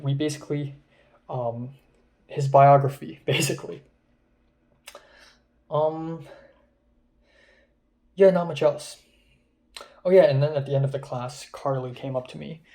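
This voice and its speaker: A man's voice: mid-range voice, fairly even intonation, measured pace, pauses often, warm tone. A young man from Hong Kong, a film student, rambling through a casual vlog recap of his day with many ums and restarts. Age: young adult.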